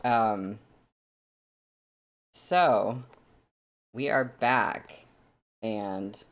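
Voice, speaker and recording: A readalong host speaking on a livestream, moving between casual chat and narrating a novel. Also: medium pitch.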